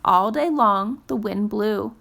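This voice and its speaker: A woman reading a children's storybook aloud in a careful, gentle, expressive storytelling style. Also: mid-range voice, slow, vocal fry. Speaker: storytime reader.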